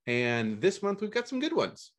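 A man's voice: sits high, wildly swooping pitch, moderate pace. Man hosting a book podcast. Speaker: stand-in podcast host and book editor. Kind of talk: scripted podcast reading of book news and blurbs.

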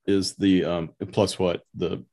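A man hosting a casual podcast interview, halting as he searches for his words. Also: sits low, flat delivery, gravelly, mellow.

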